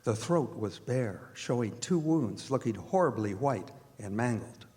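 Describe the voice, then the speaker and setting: An actor playing a somber doctor who narrates his diary in a measured, dramatic radio theatre manner. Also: medium pitch, expressive, mellow, close to an everyday voice. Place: performing arts center stage. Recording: echoey theatre hall with music behind.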